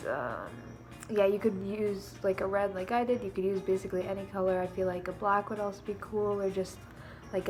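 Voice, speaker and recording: A young woman with a congested, snuffly nose talks casually to her camera from her living room, rambling and filling pauses with "um" and "like." Her voice sits at an ordinary middle pitch. Soft music plays quietly underneath. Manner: chatty and rambling, many fillers like "um" and "like". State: stuffed-up, snuffly nose from allergies. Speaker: beauty and costume vlogger.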